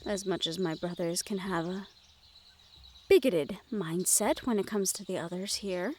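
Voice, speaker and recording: A woman playing a gentle, well-bred young noblewoman in a fantasy audio roleplay, speaking in polite, measured phrases directly to the listener. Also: on the low side, slight background noise, natural voice. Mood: serene, thoughtful.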